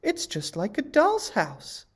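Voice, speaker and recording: A man voicing a sweet, gentle young princess in a high, breathless voice in a storybook reading. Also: wildly swooping pitch, slow pace, heavily acted. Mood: delighted.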